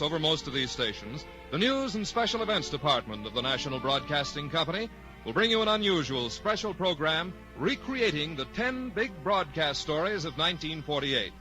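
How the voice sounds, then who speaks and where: A man's voice: on the high side, low-quality recording. A man with an American accent reading formal, polished announcements in the style of 1940s network radio. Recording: 1940s radio broadcast recording.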